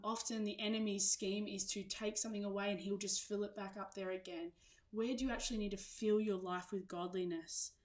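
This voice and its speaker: A woman preacher speaks to her congregation with a mid-pitched voice. Her delivery is earnest and reflective, moving between personal sharing and gentle exhortation in an informal sermon style. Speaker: church preacher.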